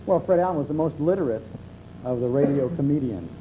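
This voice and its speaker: A middle-aged man being interviewed as a talk show guest, explaining things conversationally with hesitant pauses. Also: on the high side, expressive, warm tone. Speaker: talk show guest, old-time radio enthusiast. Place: television studio. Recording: muffled 1970s television tape with hum.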